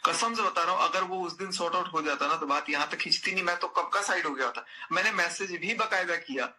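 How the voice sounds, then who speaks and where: A man's voice: high voice, fast talker, sharp tone, faint music behind. A man in a personal phone conversation, speaking insistently and repeating his phrases. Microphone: phone call. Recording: recorded phone call with faint noise.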